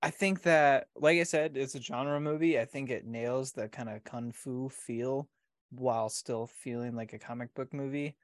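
A man's voice: mid-range voice, normal speed, no echo, clean studio sound. A man co-hosting a casual podcast, easygoing and teasing, laughing often and hedging with fillers.

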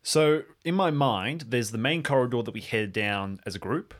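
A man's voice: medium pitch, normal speed, fairly bright tone. A man casually improvising narration of his character's actions as a player on an adventure podcast.

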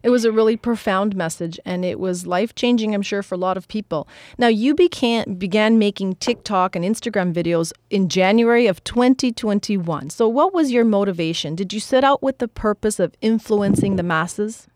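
A woman's voice: medium pitch, moderate pace, darkish tone, no echo, clean studio sound. A woman hosting a radio health show, reading a scripted intro and asking interview questions.

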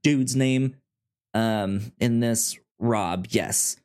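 A man co-hosting a podcast, reviewing a show in casual chat, talking haltingly with frequent stammers and restarts. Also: medium pitch, darkish tone. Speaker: podcast co-host.

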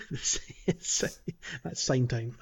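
A man's voice: mid-range voice, animated, slow, gravelly, a little hiss or hum. A man chatting casually about a video game as a podcast host.

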